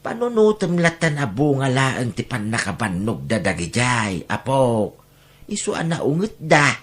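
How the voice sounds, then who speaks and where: An elderly Ilocano grandfather played by a man speaks very slowly and soothingly. His pitch moves freely as he gives patient, gentle advice, as in a family audio drama. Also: sing-song, slightly rough.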